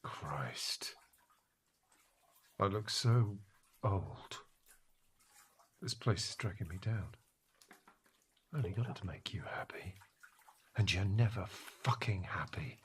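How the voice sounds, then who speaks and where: A weary middle-aged husband in an audio drama, with a deep voice and slow delivery. He mutters with self-pity and resentment, over noise and trickling water. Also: expressive. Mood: weary, bitter. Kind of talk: naturalistic audio drama acting.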